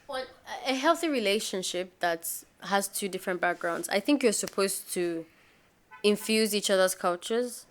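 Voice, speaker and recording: A woman's voice: mid-range voice, moderate pace, a little hiss or hum. A young Nigerian woman co-hosting a casual podcast chat, telling stories with emphatic reactions. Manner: animated storyteller, emphatic. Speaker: podcast co-host.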